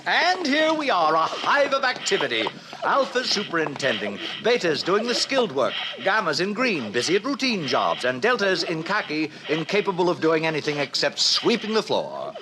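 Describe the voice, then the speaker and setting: An actor playing a pompous, officious director of a hatchery in a 1950s radio drama, speaking in formal declamation. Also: male voice, high voice, huge pitch swings, normal speed, few pauses. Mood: proud, brisk. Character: pompous, authoritarian male director of a baby hatchery. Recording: noisy old radio recording.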